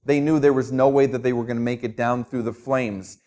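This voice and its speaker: A man's voice: medium pitch, fairly even intonation, fairly quick, dark tone. A middle-aged man from Minnesota with a New Jersey accent, preaching a sermon as a guest pastor in an easygoing, conversational way with self-deprecating humor.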